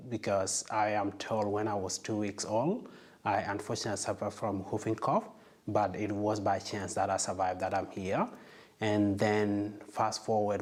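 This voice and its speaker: Earnest low-voiced young man. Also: a little mellow. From South Sudan. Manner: earnest, reflective storytelling. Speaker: medical student, interviewee. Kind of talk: casual interview.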